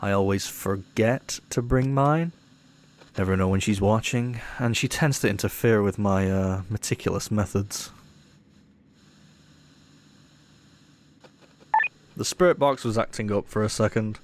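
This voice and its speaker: Man playing a cocky, low-voiced ghost hunter. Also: normal speed, lightly acted. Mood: skeptical. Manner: teasing, offhand, dismissive. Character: cocky, irreverent male ghost hunter showing a rookie around. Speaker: paranormal investigator character. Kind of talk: audio roleplay acting.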